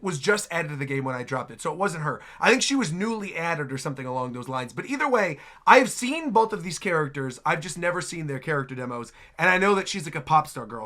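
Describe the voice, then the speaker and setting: A host giving casual, excitable reaction commentary on game trailers. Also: mid-range voice. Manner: excitable, exclamatory.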